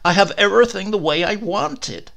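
A man voicing a greying old husband, dreamy and excitable, who enthuses wistfully. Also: high voice, a little fast, gravelly, pitched above the performer's own voice, exaggerated voice. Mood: flustered. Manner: enthusing, wistful, hesitant when caught.

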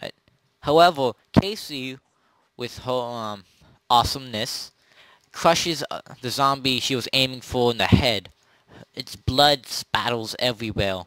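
A man running a tabletop zombie role-play as game master, narrating in a halting, improvised way. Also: medium pitch, a bit bright, clean tone, no echo, low-quality recording. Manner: halting, pieces scenes together as he goes.

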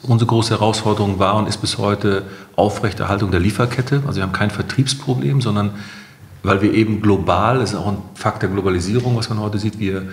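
A man's voice: lowish voice, steady tone, brisk. A middle-aged man, a company co-founder, explains things conversationally in an interview, mixing in English business terms. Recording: roomy, echoing interview recording.

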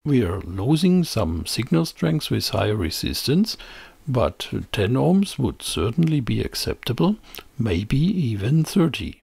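A man presenting a scripted technical tutorial as voice-over, speaking in a measured, explanatory manner into a close microphone. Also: mid-range voice, sing-song, gravelly, a little mellow, husky, dry recording. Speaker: presenter of an electronics tutorial channel.

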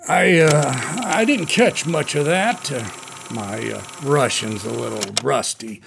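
A man's voice: medium pitch, slow pace, creaky, noisy background. A man playing a skeptical, folksy American colonel who speaks hesitantly in a radio drama. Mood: affable, puzzled. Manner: hesitant, dryly incredulous.

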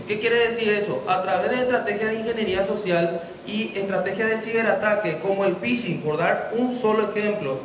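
A Paraguayan man in his thirties, a police spokesman, gives a formal, wordy press statement with safety advice in a Paraguayan accent. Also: sits high. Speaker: police deputy commissioner, spokesman. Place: indoor conference room. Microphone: handheld microphone held close to mouth. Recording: echoey room, long reverb, background noise.

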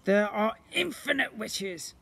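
A man voicing an excitable, theatrical shapeshifting slime creature in a children's storybook, grandiose and playful. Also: high voice, slow, gravelly, slightly rough, voice pushed up, heavily acted. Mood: grand. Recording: noisy outdoor handheld recording.

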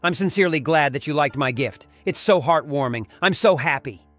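A man voicing a warm, polite, eager cartoon dog in an animated comic dub. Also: fairly high pitch, a little fast, soft background music. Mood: grateful, happy. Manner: earnest, polite.

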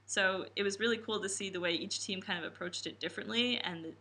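A woman in her twenties from the New Jersey and New York area, a camp coach, speaking expressively in an interview. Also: quick pace. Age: 20s. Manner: expressive, acts out quotes with big pitch jumps. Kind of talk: reflective interview answers. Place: indoor room with posters.

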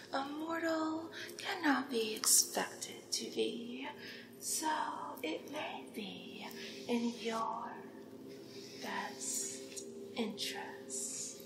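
Woman as doting she-devil, ASMR roleplay, high breathy whisper, deliberate, lingering on phrases, coaxing and commanding, echoing room. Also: noisy background. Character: flirtatious female devil, doting, playfully commanding party stylist. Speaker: ASMR performer.

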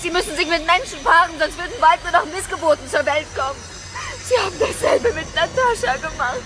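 A woman's voice: very high-pitched, brisk, over music. An actress playing a grim, resigned female scientist in a radio drama, speaking bluntly under pressure. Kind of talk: German radio drama acting. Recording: studio radio play with loud noise and crowd effects.

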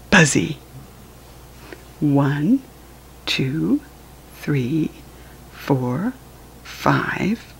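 An American woman reads aloud for young children at a very slow, deliberate pace. Her voice is fairly low and husky, with an encouraging, sing-song delivery that teaches as it goes. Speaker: children's book reader and teacher. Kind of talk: read-aloud counting lesson for young children.

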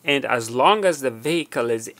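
A man's voice: mid-range voice, lively intonation, moderate pace, fairly bright tone. A man in his fifties giving an unscripted product demonstration, explaining and rephrasing his points as he goes. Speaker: tech tutorial presenter.

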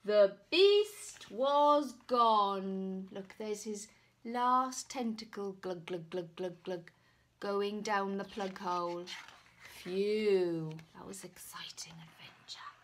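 Slow, dramatic woman reading to children. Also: medium pitch, a little hiss or hum. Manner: dramatic pauses, hushed asides to the children. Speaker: author reading her picture book aloud. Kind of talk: expressive storytime reading for young children. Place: small room at home, indoors. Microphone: camera or phone at about arm's length.

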